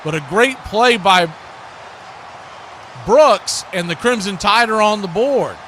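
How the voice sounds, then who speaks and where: An American man calling live football play-by-play in excitable, clipped phrases. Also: high-pitched, slow pace, slight background noise. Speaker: play-by-play announcer.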